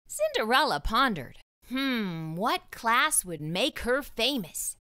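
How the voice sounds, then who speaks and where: A woman reading a children's storybook aloud as an expressive narrator. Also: fairly high pitch, slow.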